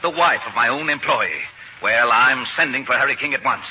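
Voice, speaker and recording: An actor playing a stuffy, easily shocked boss in old radio comedy, stern and officious. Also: male voice, medium pitch, normal speed, bright, forceful tone. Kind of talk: 1940s radio comedy acting. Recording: slightly noisy old radio broadcast.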